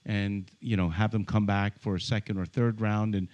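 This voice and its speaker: A man from Iran, a guest on a podcast, talking in a friendly way with many pauses and fillers. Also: on the low side, little pitch movement, a little mellow, dull audio. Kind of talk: casual interview conversation. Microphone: studio microphone with headphones.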